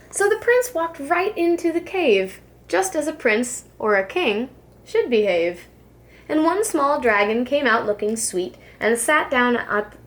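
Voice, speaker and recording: A woman reading a rhyming children's story aloud like a preschool teacher, with expressive, deliberate phrasing. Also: high-pitched.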